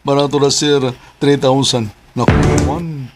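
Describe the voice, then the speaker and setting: A man's voice: medium pitch, steady tone, measured pace, very noisy, close to an everyday voice. A man in a Bisaya radio drama playing a street hustler who poses as a fruit seller. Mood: sly, casual.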